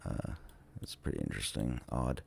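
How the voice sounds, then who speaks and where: Very deep, gravelly-voiced man with a cold. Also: a little mellow. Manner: hesitant and musing, many ums and trailing pauses. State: sick with a cold. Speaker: vlogger touring tornado damage on a map. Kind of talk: casual thinking-aloud commentary.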